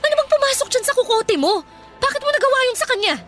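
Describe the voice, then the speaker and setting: An actress playing a wronged, outspoken young female office worker in Filipino radio drama, with an expressive, conversational delivery and a Filipino accent. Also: very high-pitched, quick pace. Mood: indignant. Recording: background music under the voice.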